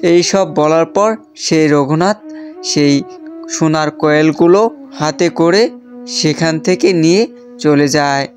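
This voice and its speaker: A man's voice: on the high side, takes it slowly, soft background music. A man narrating a scripted children's story.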